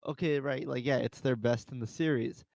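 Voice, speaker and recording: A man's voice: mid-range voice, steady tone, moderate pace, gravelly, darkish tone, no echo. A man co-hosting a podcast, chatting informally and giving opinions in slangy everyday talk. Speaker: podcast co-host. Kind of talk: casual group discussion.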